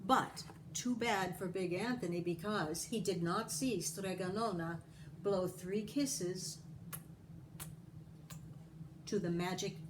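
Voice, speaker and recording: A woman reading a children's story aloud as a storyteller, deliberately and with care for young listeners. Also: medium pitch, noisy background.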